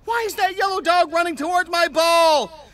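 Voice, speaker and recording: A man playing an excitable alien disguised as a little dog, theatrical and exclamatory, in a sci-fi audio drama. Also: very high-pitched, moderate pace, no room sound. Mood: amazed, excited. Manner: theatrical, exclamatory, arguing with himself.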